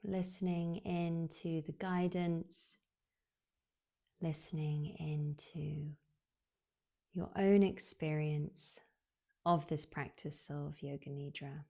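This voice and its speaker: A woman guiding a relaxation meditation in a soothing, unhurried way, leaving long pauses, with soft, breathy phrase endings. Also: low voice, steady tone. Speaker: yoga nidra guide.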